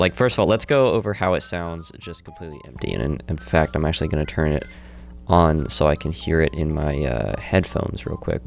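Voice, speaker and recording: Man, very low voice, relaxed tutorial talk, self-correcting, studio mic at home, faint music behind. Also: gravelly. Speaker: streamer demonstrating audio software. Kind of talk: casual screen-recorded tutorial. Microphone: studio dynamic mic through audio interface.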